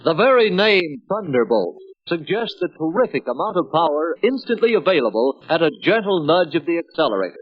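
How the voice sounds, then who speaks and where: A man's voice: a little high, big pitch swings, fairly quick, hardly any pauses, slightly rough. A man with an American accent delivering scripted 1950s promotional narration in a punchy, emphatic announcer manner. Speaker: second narrator of a sales training film. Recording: dull, dry vintage film soundtrack.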